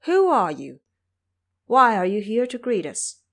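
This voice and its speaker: A woman's voice: medium pitch, big pitch swings, unhurried, gravelly. Woman playing a dignified, gracious emperor. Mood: questioning. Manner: formal, regal questioning and thanks. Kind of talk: audio drama acting.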